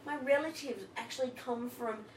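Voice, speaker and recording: Aussie woman, high voice, swears a lot, sounds far off in an echoey noisy room. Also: moderate pace, crowd noise. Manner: loud, swearing, laughing a lot. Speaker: podcast co-host. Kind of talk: casual travel chat.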